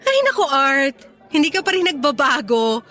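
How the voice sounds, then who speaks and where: A woman's voice: high-pitched, sing-song, normal speed, pushed and bright, soft background music, exaggerated voice. An actress playing a wistful, teasing young woman reunited with her ex in a conversational, emotive Tagalog radio drama.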